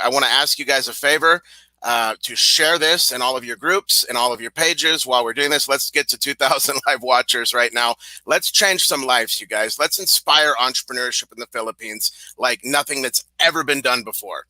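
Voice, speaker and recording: A man hosts a live online interview in an upbeat, encouraging way. His voice sounds very bright and sharp, and the sound is close and dry with no room echo. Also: medium pitch. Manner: upbeat, encouraging, says radio voice. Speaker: show host.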